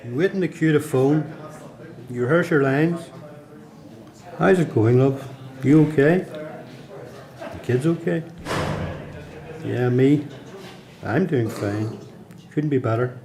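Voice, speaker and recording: A man's voice: mid-range voice, takes it slowly, long pauses, darkish tone. A man playing an anxious prisoner who narrates a radio play in short, clipped sentences. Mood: rehearsed calm. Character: anxious male prisoner narrating his wait to phone home.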